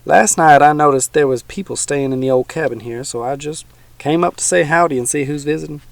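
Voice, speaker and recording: A man doing a gruff old swamp hermit. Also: on the high side, brisk, voice pushed up. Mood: folksy. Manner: folksy backwoods drawl, growling.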